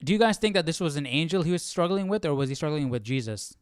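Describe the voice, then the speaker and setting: A man's voice: on the high side, expressive, fast, clean tone, dry recording, studio quality. A man hosting a Bible study livestream in casual chat, questioning and thinking aloud informally. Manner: informal, questioning, muttering to himself.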